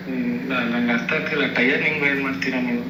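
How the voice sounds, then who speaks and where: Quick-speaking man on noisy echoey call. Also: medium pitch, fairly even intonation. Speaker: customer receiving a prank call. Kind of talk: casual phone conversation. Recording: echoey phone call with clear noise.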